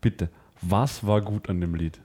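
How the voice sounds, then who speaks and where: A man with a husky, slightly rough voice in casual podcast chat. He teases the others with dry jokes and pulls the talk back on track. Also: sits low, steady tone, a little slow, mellow. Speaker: podcast co-host.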